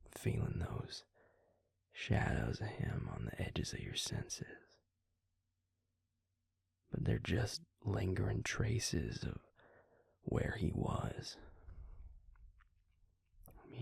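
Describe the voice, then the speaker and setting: Gravelly, half-whispering male vampire, acted by a man. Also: dry recording, studio quality, full character voice. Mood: reassuring. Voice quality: breathy, gravelly, low near-whisper. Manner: gentle, measured, reassuring. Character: calm, protective male vampire explaining lore to a werewolf. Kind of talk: intimate audio roleplay, slow hushed delivery.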